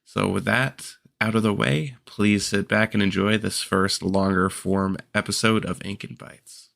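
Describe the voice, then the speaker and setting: A man hosting a podcast in casual chat, a little stuffy from a cold, relaxed and rambling. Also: on the low side, unhurried, vocal fry, no room sound, clean studio sound. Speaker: podcast host.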